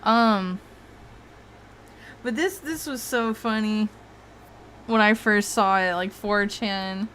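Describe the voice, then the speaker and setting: A woman's voice: mid-range voice, slow, pauses often, a bit bright, a little hiss or hum. A woman hosting a casual livestream, rambling and swearing freely, with frequent laughs. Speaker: streamer host.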